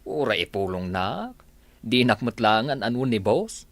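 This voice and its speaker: A man's voice: mid-range voice, sing-song, a little slow, fairly bright tone, dry recording. A man playing a nervous, deferential henchman in an Ilocano radio drama, with a hesitant, pleading manner. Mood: warning. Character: nervous, deferential male henchman to a crime boss.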